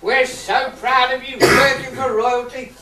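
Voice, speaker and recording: Woman as gushing pantomime relative, high voice. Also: little pitch movement, a little slow, bright, forceful tone. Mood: proud. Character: proud, gushing relative of a servant, pantomime storyteller. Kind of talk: amateur pantomime stage acting. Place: theatre or hall stage with audience. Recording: echoey hall, distant mic, faint noise.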